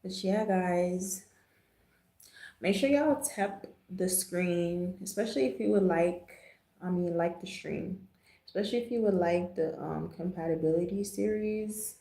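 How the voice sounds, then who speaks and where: Casting: American female livestream host, relaxed mellow tone with lots of vocal fry, chatty and slangy, echoing room sound. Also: on the low side, a little slow. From Jamaica, raised in the US. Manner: laid-back, slangy, chatty. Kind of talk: casual livestream chat. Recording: roomy, echoing livestream audio.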